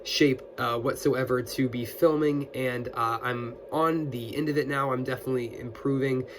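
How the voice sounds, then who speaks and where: A man's voice: mid-range voice, fairly even intonation, moderate pace. A young man who is getting over an illness and still sounds scratchy, chatting casually about his new books as a book video host, with a lot of fillers and restarts. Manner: friendly, with many fillers and restarts. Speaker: booktuber. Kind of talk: casual book haul chat.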